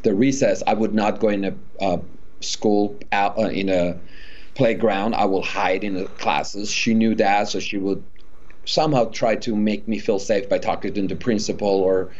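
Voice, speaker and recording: A man talks as an interview guest, his voice sitting on the low side. He speaks earnestly and haltingly, circling back to restart his thoughts. The recording is dull and a little lo-fi. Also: fairly even intonation, a little mellow. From Iran. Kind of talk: candid personal interview.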